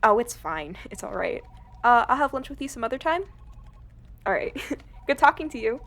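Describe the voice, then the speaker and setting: A woman's voice: on the high side, sing-song, moderate pace, a bit bright. A woman playing a friendly, chatty mercenary company recruiter in an audio drama, with a casual, affectionate manner. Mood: cheerful. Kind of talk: cinematic audio roleplay acting.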